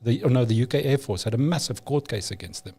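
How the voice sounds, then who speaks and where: Man, Afrikaans speaker, mellow tone, emphatic, opinionated, podcast guest. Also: medium pitch, lively intonation, fast talker, husky. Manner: emphatic, opinionated, frequent restarts. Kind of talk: casual conversation.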